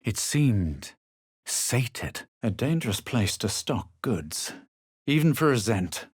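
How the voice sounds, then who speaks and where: A man voicing a haughty, cool young adventurer hero in a video game, theatrical and measured, with a husky voice. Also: mid-range voice, sing-song, dry recording, studio quality, full character voice. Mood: brooding, measured. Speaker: video game player character. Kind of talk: scripted game voice lines, dramatic narration and barks.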